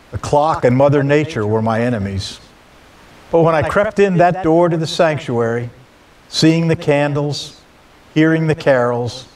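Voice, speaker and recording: A man's voice: fairly high pitch, a little mellow, far from the mic. A man reading a scripted personal story aloud as a storyteller.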